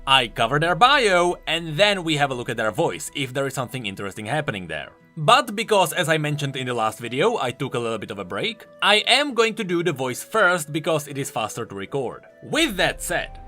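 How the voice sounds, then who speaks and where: A man's voice: fairly high pitch, pushed and bright. A man presenting explanatory video commentary on game lore, informal and emphatic in his delivery. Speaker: YouTube game lore host.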